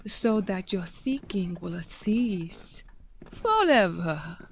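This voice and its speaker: A woman's voice: medium pitch, animated, extremely slow, slight background noise. A woman playing a seductive, ageless fae siren in an audio play, speaking in a languid, incantatory manner. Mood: seductive, soothing.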